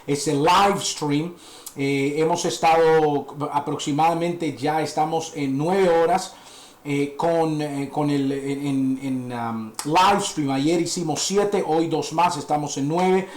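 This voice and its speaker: A man hosting a live stream, introducing a guest in an earnest, uplifting way, pausing often with fillers. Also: a little high. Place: dental lab. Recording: echoey room.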